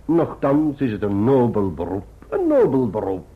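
An actor playing a pompous, genteel middle-aged clerk in a radio drama, florid and wordy with deliberate pauses. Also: male voice, medium pitch, huge pitch swings, breathy edge. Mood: grandly cheerful. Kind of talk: theatrical radio drama acting. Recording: muffled, dull old radio drama recording.

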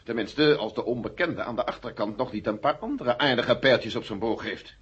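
A sardonic, sceptical general in a vintage radio drama. The voice is very husky and mid-pitched, on a dull old recording. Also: male voice, expressive, normal speed, fairly bright tone. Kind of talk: 1970 Dutch radio play acting.

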